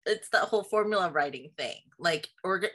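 A woman's voice: fairly low pitch, lively intonation, normal speed, fairly bright tone. A woman co-hosting a podcast on an online call, chatting casually and repeating words as she thinks aloud. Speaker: podcast co-host.

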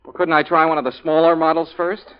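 A man's voice: on the high side, little pitch movement, quick pace, clean tone, close to an everyday voice. A man acting a nervous, wisecracking adult afraid of horses in an old American radio comedy, speaking hesitantly with comic anxiety. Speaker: actor in a radio comedy-mystery. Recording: muffled vintage radio recording.

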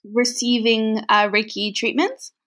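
A woman's voice: fairly high pitch, fairly even intonation, measured pace, a bit bright, slight background noise. A woman hosting an interview podcast, friendly and hesitant, with many ums and encouraging mm-hmms. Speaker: podcast host. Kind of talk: casual interview.

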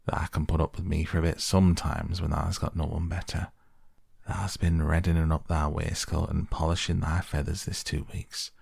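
A gruff old Yorkshire gardener performed by a male narrator, low and gravelly. He grumbles bluntly in dialect, sarcastic with a soft heart underneath, as in a storybook. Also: level tone, a little slow, dry recording, studio quality, exaggerated voice. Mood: sarcastic, teasing. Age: older.

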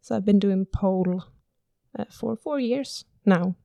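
A woman from Sweden speaking English with a Swedish accent, co-hosting a casual podcast and often pausing to search for words. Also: mid-range voice, creaky, dark tone, no echo, clean studio sound. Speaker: podcast co-host.

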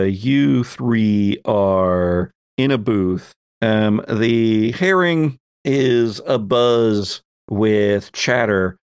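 A man narrating a tabletop roleplaying game as game master, pausing and deliberate as he sets out scenes and questions. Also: fairly low pitch, no room sound, studio quality.

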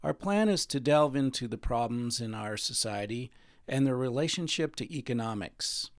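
Man, interview host, gravelly mid-pitched voice, dry close sound. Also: expressive, normal speed, dry recording. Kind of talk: scripted introduction and interview questions.

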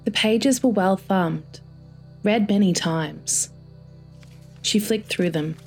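A woman reading a scripted short story as narrator, in a measured storytelling manner. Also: mid-range voice, darkish tone, faint music behind.

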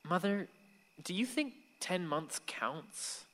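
A man's voice: high voice, animated, slow pace, bright, forceful tone, full character voice. A man acting a wistful, bitter son of a grieving mother, telling his story earnestly in a radio drama. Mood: wistful, hurt. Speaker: actor in a radio play. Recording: long hall reverb.

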